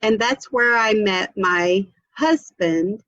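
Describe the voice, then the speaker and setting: A woman's voice: medium pitch, takes it slowly, fairly bright tone, no echo, highs cut off. A woman from Memphis, a guest talking earnestly in a casual interview. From Memphis, Tennessee.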